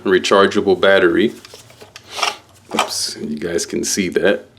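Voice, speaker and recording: A man giving a casual, halting unboxing walkthrough as a product reviewer, explaining things piece by piece. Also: sits low, fairly even intonation, creaky, background noise.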